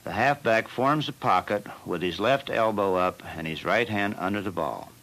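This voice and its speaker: Low-voiced coach explaining football plays. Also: male voice, fairly bright tone, rough recording. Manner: measured, plain instructional delivery. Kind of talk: instructional film narration.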